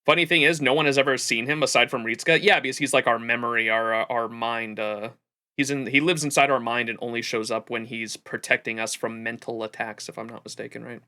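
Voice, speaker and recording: A man hosting a livestream, giving casual, rambling, animated gaming commentary with plenty of swearing. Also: medium pitch, brisk. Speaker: livestream host.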